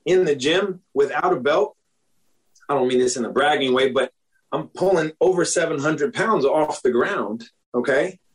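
A man's voice: a little high, normal speed, low-quality recording. Chatty man in his forties, doctor. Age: 40s. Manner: conversational, says "okay?" and "you know?" often, clears throat. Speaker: doctor, guest. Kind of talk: casual interview talk.

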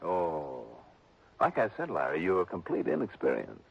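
Tough, sardonic male investigator, husky and clipped, on a muffled vintage radio broadcast. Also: sits low, wildly swooping pitch, moderate pace, heavily acted. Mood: accusing. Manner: clipped, wry and pressing. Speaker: lead actor. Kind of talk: 1960s radio drama acting.

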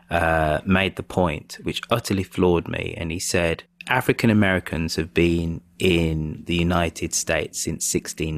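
A man hosting a political podcast, speaking in a measured, thoughtful way. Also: low voice, vocal fry, no room sound. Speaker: podcast host.

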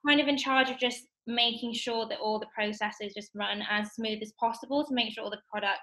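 A woman's voice: fairly high pitch, fairly even intonation. A young woman in her twenties, a guest explaining her job in a casual chat with lots of "kind of" and "like". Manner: bright, quick, lots of fillers like "kind of" and "like". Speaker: guest, assistant buyer. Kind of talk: casual interview.

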